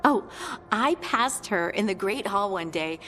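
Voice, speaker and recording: A woman playing a warm, earnest young scout in a fantasy video game, chatting in a friendly, conversational way. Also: medium pitch, lively intonation, unhurried, slight background noise. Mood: fond, amused. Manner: chatty, friendly, lightly amused.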